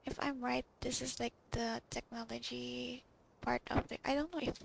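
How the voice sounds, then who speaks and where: A woman's voice: a little high, little pitch movement, moderate pace, gravelly, a little hiss or hum. A woman gamer giving casual gameplay commentary, muttering to herself in fragments that trail off. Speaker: gamer playing and reacting. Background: video game music.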